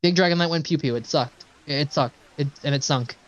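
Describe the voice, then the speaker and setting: A man's voice: fairly high pitch, a little fast, lo-fi sound. A man streaming a game, giving casual, profane, enthusiastic reaction commentary over cutscenes. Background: faint game music and dialogue.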